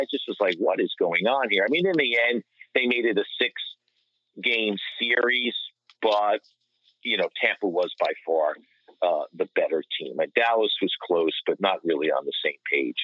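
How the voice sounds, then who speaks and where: A man's voice: lowish voice, moderate pace, dry recording. A man giving casual sports analysis as a podcast panelist, over a dull remote line.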